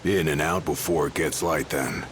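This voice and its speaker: A man playing a gruff, protective royal bodyguard in a video game, curt and blunt. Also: a bass voice, fairly even intonation, moderate pace, background noise. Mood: matter-of-fact. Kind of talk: video game cutscene acting. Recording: music under the voice.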